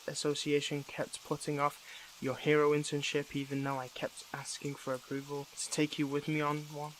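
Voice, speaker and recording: A weary but defiant young superhero , acted by a man, speaks in a slightly high male voice. His delivery is halting and brooding, as in an intimate audio drama addressed to one listener. A faint rain-like noise sits behind him. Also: a bit bright, lightly acted, poor audio.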